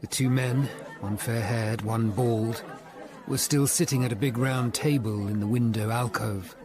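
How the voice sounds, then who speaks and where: An actor playing an earnest, educated Tudor lawyer-detective in a radio drama, speaking in a measured, formal way. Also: male voice, medium pitch, steady tone, crowd noise, natural voice. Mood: grave, tense narration. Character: sober, educated Tudor lawyer-detective narrating his investigation. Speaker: narrator and lead character. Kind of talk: radio drama narration and dialogue.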